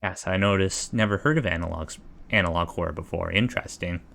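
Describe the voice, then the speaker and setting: A man's voice: low voice, fairly quick, gravelly, a bit bright, slight background noise. A man giving casual reaction commentary as a livestreamer, hesitant and rambling as he thinks aloud.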